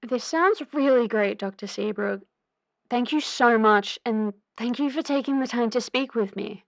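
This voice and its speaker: A woman's voice: on the high side, a little slow, vocal fry. A woman playing an anxious, earnest young caller to a radio advice show in an audio drama, chatty and hesitant. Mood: grateful. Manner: hesitant, chatty, with filler words.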